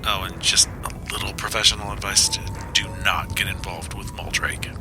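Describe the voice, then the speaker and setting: An actor playing a wistful, lonely agent in an audio drama, wry, weary and low-key. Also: male voice, steady tone, moderate pace, loud music behind the voice, lightly acted. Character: wistful, lonely male agent leaving a late-night message.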